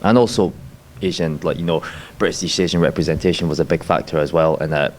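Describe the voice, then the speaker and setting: Low male actor from Scotland, loose and rambling on a panel. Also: fast talker, a little mellow, a little hiss or hum. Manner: rambling, heavy fillers like "like" and "you know". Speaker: actor and filmmaker. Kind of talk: festival panel talk.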